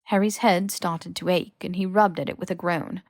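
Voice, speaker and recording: A woman's voice: fairly low pitch, fairly even intonation, moderate pace, no room sound, studio quality. A woman reading scripted fan fiction as an audiobook narrator. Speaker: podfic narrator.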